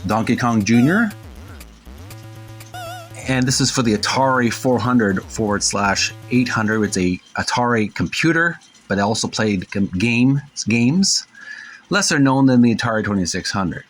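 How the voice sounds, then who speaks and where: A man with a medium-pitched voice gives casual commentary as a host. His delivery is folksy and rambling, with hesitant phrasing as he describes things or reads captions aloud. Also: lively intonation, a little slow, a bit bright, soft background music. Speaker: host of a retro gaming channel. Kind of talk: casual show-and-tell commentary, reading book captions aloud.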